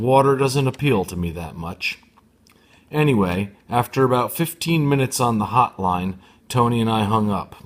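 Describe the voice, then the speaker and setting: A man narrating a children's book aloud with expressive, deliberate phrasing. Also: lowish voice. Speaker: storybook reader. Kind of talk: expressive audiobook narration for young readers.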